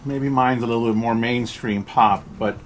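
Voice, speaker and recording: A man's voice: mid-range voice, steady tone, normal speed, a little hiss or hum. A man in the audience asking a casual, unscripted question at a live event. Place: bookstore event room. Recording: clear crowd sound in event room.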